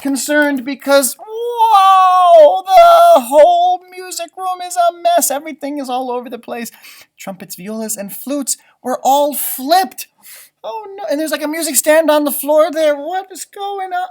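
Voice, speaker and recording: A man reading a picture book aloud to young children as a teacher, with expressive asides and questions to his listeners. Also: extremely high pitch. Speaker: elementary school teacher reading storybooks aloud.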